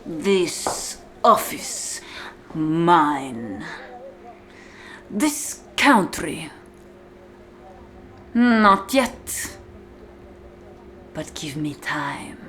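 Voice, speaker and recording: A woman performing a cold, domineering female warden in an ASMR-style roleplay monologue, deliberate and condescending, speaking straight to the listener with hushed, whispery drops. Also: low voice, lively intonation, slight background noise, full character voice. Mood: cold, possessive.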